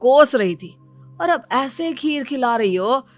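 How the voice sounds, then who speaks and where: A woman's voice: high voice, animated, slow, soft background music. A woman voicing an exasperated young husband in a cartoon story, complaining and sarcastic. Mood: sarcastic, accusing.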